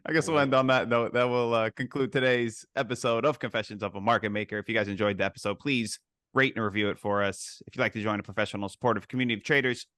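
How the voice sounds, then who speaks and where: Male podcast host, bright forward voice, very dry close sound, casual interviewer. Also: lowish voice, dry recording.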